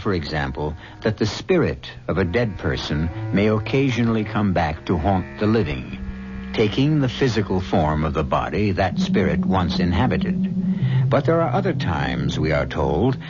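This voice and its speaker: An older man hosting and narrating a 1970s radio drama in a measured, grave, theatrical way. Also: low-pitched. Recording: dull old radio recording with music.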